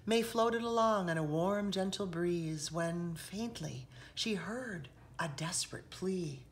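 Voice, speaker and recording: A woman reading a picture book aloud expressively, with dramatic hushed passages and emphatic rises, recorded indoors by a camera. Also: on the low side, slow pace, slight background noise. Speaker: children's author reading aloud. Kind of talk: expressive storybook read-aloud. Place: indoors, seated on a sofa in a room.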